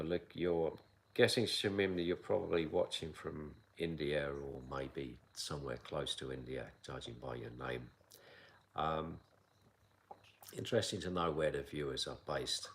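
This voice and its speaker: A man of around sixty from England, accent softened by years in Asia, answering viewer questions in a calm, measured live chat as a kung fu teacher. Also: very deep voice, vocal fry. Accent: English accent softened by long years in Asia. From south London, England. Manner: calm, measured, unhurried with frequent pauses. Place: outdoor mountain training area.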